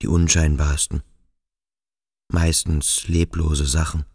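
A male actor playing a brooding, introspective schoolboy who questions himself slowly and deliberately in a literary radio drama. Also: a bass voice, level tone, darkish tone, husky, no room sound, clean studio sound, exaggerated voice. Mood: brooding, uneasy. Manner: slow, deliberate, self-questioning. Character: brooding, introspective schoolboy pondering his own strangeness.

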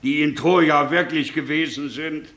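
An actor playing an excitable, mocking guest at a king's feast in a 1950s radio drama, with a needling manner. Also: fairly high pitch, normal speed, lightly acted. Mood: prying, provocative.

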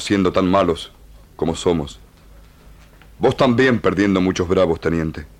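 A low, grave male chief of the pampas, played by an actor for Argentine radio drama. He speaks with an Argentine accent in solemn, broken phrases, dignified and measured. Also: natural voice. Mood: solemn, sorrowful.

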